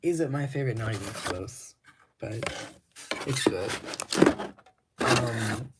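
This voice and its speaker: A man showing records from his collection in casual talk, laid-back and rambling, his voice slightly raspy, with frequent ums and restarts. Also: mid-range voice, slow pace, very noisy.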